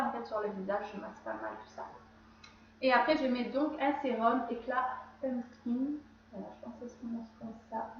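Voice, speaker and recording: A woman's voice: a little high, slight background noise. A woman with a cold chatting casually through her skincare routine, rambling and often correcting herself. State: has a cold. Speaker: beauty vlogger. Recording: very echoey room, slightly dull sound.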